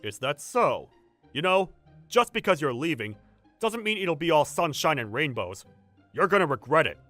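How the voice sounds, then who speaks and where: A man's voice: on the high side, big pitch swings, moderate pace, bright, forceful tone, faint music behind, pitched above the performer's own voice. Man acting a smug, domineering office senior. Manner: condescending, threatening. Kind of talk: manga dub dialogue.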